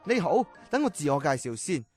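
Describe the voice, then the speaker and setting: An actor playing an earnest, excitable and easily flustered old-time inventor in a children's audio drama, theatrical and exclamatory. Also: male voice, fairly high pitch, brisk, soft background music. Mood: welcoming.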